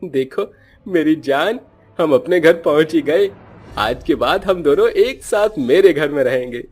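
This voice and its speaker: A man's voice: fairly high pitch, expressive, unhurried, a little mellow, slightly rough, soft background music, pitched above the performer's own voice. A man voicing a selfish, hot-headed young son and bridegroom in a melodramatic, emphatic way for a cartoon story. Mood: reassuring, tender.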